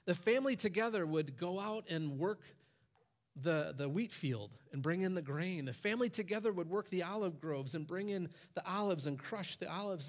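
A pastor in his forties preaching on a church stage, his voice lifting when he quotes commands. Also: sits high. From Michigan, USA. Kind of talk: sermon.

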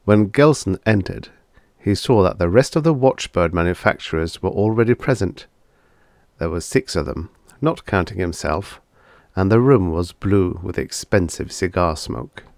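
Low-pitched man narrating vintage science fiction. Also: expressive, normal speed, a little mellow, no room sound, clean studio sound. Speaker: audiobook narrator.

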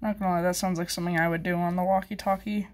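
A woman's voice: on the low side, fairly even intonation, very fast, a little mellow. A woman chatting informally in a hobby vlog, rambling and thinking aloud as she goes. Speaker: hobbyist video maker. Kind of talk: casual vlog chat.

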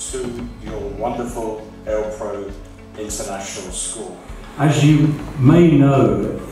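An older man from the United Kingdom giving a formal speech at a podium in a large school auditorium, reading from notes at a measured pace. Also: mid-range voice. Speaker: guest speaker. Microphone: podium mic from far camera. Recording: echoey hall, far from mic.

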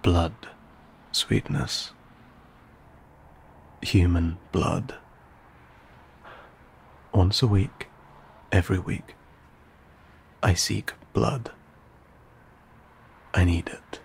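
A man playing an old vampire in an intimate audio roleplay, courteous and wry, with a husky, breathy voice and archaic, theatrical phrasing. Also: low voice, a little hiss or hum, heavily acted. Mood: hushed, confessional. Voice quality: husky, gravelly, often dropping to breathy whisper. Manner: archaic, formal, theatrical, teasing, halting.

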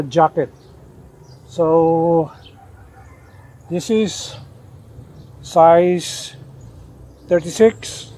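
An older man showing off his denim jacket outdoors, talking casually with lots of pauses and "uh" fillers. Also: fairly high pitch, dark tone. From Philippines. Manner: unhurried, many "uh" fillers and restarts. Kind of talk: casual show-and-tell. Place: outdoors in a leafy garden.

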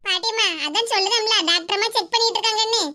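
A woman putting on a brisk hospital nurse. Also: very high voice, fairly even intonation, bright, forceful tone. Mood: curt.

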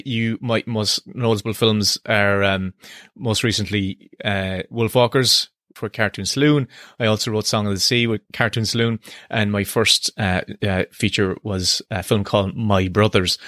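A man with a softened Cork country accent, co-hosting a casual podcast in a playful, self-deprecating way. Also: lowish voice, no echo, clean studio sound. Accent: Irish, Cork country accent softened by years away. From Ireland, Cork. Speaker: podcast co-host, screenwriter. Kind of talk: casual chat.

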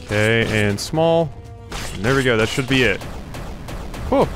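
A man's voice: mid-range voice, expressive, unhurried. A man giving casual let's play commentary on a video game, thinking aloud as he plays. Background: video game music and effects.

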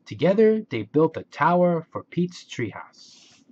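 Slow, husky man reading aloud. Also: fairly high pitch, very animated, darkish tone, dull audio. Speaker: picture book reader. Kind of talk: children's story read-aloud.

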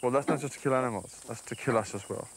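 A man's voice: mid-range voice, fast talker, heavy background noise. A man speaking firmly as a wildlife official in a documentary interview, explaining the poaching threat. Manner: firm, explanatory.

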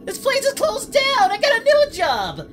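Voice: extremely high pitch, wildly swooping pitch, measured pace, over music, full character voice. A performer voicing a boastful but easily frightened cartoon anime hero, whiny and stammering, in a comedic reaction video. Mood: whiny dread. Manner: whiny, frantic, stammering, prone to shrieking. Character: cartoon anime hero, jumpy scaredy-cat, boastful yet panicky.

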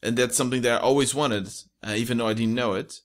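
A man's voice: mid-range voice, fairly even intonation, fast talker. A young man with a Portuguese accent, talking casually as a podcast guest into a close microphone at home. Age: young adult. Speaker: podcast guest, indie entrepreneur. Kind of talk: casual interview chat. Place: small room at home. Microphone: close studio mic with pop filter.

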